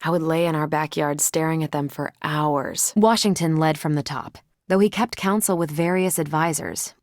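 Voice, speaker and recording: An actress playing a confident, wry superhero and ex-military pilot in a video game, speaking with dry, casual self-assurance. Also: female voice, low-pitched, normal speed, no echo, natural voice. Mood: composed, confident. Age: adult. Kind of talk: video game voice acting, conversational dialogue.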